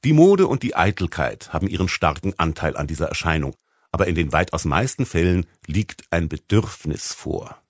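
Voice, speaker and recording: Deep-voiced man narrating with expressive range. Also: normal speed, no echo, clean studio sound. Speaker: audiobook narrator. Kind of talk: scripted literary narration.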